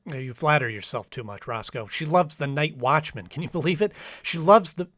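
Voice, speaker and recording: A man's voice: fairly high pitch, expressive, fairly quick, a bit bright, no echo, clean studio sound. A man playing an anxious, sensitive young man in a radio drama, speaking in an earnest, faltering, dramatic way. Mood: nervous, pleading. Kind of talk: old-time radio drama acting with diary narration.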